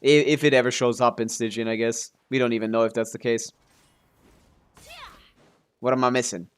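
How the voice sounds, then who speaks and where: A man's voice: mid-range voice, level tone, moderate pace, clean tone. A male streamer with a mid-range voice talks casually over his gameplay. He chats as if replying to viewers, often trailing off or hedging as he works through a thought. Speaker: video game streamer. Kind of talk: casual livestream gaming commentary and chat.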